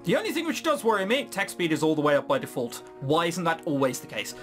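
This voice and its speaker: Male let's player, very lively pitch, sarcastic and sweary, casual commentary over game music. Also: fast. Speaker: let's play host. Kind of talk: casual gaming commentary, reading game text aloud.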